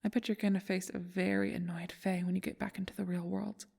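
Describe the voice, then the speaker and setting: A woman playing a calm, knowing ally in an ASMR audio roleplay, whispering with teasing encouragement. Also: medium pitch, fast, vocal fry, breathy edge. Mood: wry, encouraging. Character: calm, knowing female ally coaxing someone onward.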